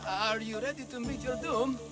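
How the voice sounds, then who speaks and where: A man's voice: very high voice, steady tone, moderate pace. An actor playing a nervous, scheming male trial organizer who stammers and wheedles like a salesman. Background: game music.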